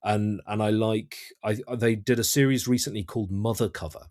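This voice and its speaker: A British man giving conversational interview answers as a guest writer-director, often halting and repeating words as he thinks. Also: on the low side, fairly even intonation, a little mellow, dry recording, clean studio sound. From United Kingdom.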